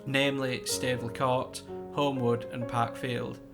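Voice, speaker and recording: A man reads a eulogy as narration, fond and storytelling in tone. His voice is gravelly and mid-pitched. Soft guitar music plays behind him. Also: level tone, normal speed. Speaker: grandson reading a eulogy. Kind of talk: scripted narration.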